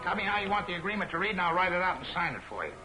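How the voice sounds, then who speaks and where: Blustering American gangster boss, high-voiced, swooping delivery, 1940s serial soundtrack with music. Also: male voice, big pitch swings, very fast, reverberant, low-quality recording. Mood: wary, bargaining. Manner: tough gangster slang. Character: blustering, crooked male racketeer running a bus line. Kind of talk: 1940s film serial acting. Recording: old film soundtrack with music.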